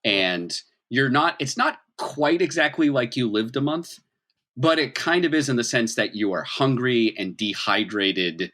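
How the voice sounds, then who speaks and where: A man's voice: mid-range voice, lively intonation, a little slow, bright, forceful tone. A man improvising narration as a tabletop game master, explaining things in a conversational way.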